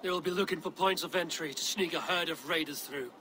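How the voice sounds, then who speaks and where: An actor playing a bold, threatening Viking warrior in a video game, with a blunt and commanding delivery. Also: male voice, on the high side, fast talker, sharp tone, a little hiss or hum. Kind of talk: dramatic video game acting.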